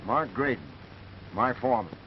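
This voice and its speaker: An American actor playing an insistent, accusing rancher boss in a 1930s western film. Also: male voice, medium pitch, animated, moderate pace, close to an everyday voice. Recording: dull, hissy 1930s film soundtrack.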